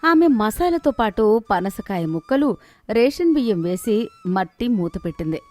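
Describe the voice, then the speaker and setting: A woman giving scripted narration for an animated moral story in a steady storytelling manner. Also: fairly high pitch, normal speed, dry recording, faint music behind.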